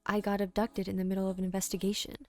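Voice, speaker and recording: A woman's voice: medium pitch, monotone, very fast, faint music behind, natural voice. A woman voicing a laid-back adult male policeman in a video game, with casual, clipped phrasing. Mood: easygoing.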